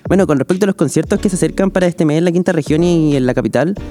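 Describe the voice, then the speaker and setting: A young Chilean man talks fast and eagerly about upcoming concerts, with lots of "eh" between phrases. His voice is a bit high and mellow. Soft music plays beneath him. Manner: quick, enthusiastic recommendations with fillers. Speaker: music panelist. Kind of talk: radio-style panel chat.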